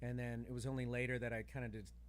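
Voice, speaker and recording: A man of average pitch hosts a podcast conversation. He talks in an informal, easygoing manner, as in casual chat. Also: little pitch movement, quick pace, slight background noise. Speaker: podcast host.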